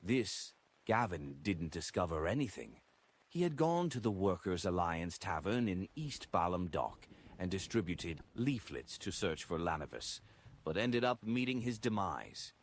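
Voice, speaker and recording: A man voicing a stern, probing woman who questions an informant in a hushed, suspicious manner in an audiobook. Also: low voice, very animated, unhurried, exaggerated voice. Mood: puzzled, reasoning. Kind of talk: audiobook character dialogue.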